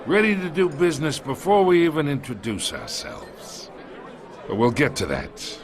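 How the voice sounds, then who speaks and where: A man's voice: on the high side, very animated, normal speed, some chatter behind. An actor playing a menacing, smug older American crime boss who taunts in folksy tough-guy slang, in a video game. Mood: smug, mocking.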